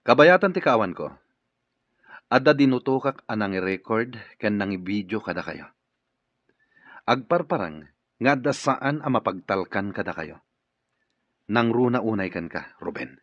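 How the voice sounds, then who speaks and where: A man's voice: fairly low pitch, animated, normal speed, pauses often, no room sound. A man acting in a radio drama as a stern, commanding boss who has been betrayed. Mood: stern, accusing. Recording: dull muffled radio drama recording.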